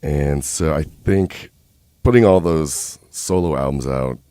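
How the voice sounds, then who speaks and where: Deep-voiced male musician guest, 30s, mellow and unhurried, dry studio sound. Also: clean tone, no room sound. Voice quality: deep speaking voice. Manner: laid-back, easygoing and unhurried. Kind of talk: casual interview chat. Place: radio studio.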